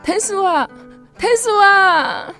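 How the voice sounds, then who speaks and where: A woman's voice: extremely high pitch, animated, slow, bright, forceful tone, soft background music, exaggerated voice. An actress with a Taiwanese accent playing a devout, emotional countrywoman in a radio drama. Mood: excited, tearful.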